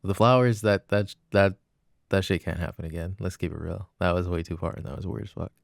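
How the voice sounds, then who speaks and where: A man's voice: low voice, a little fast, gravelly, no room sound, clean studio sound. A young man playing an apologetic, adoring jealous boyfriend in an intimate roleplay, speaking in a casual, hesitant murmur. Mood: sheepish, earnest. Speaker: voice actor.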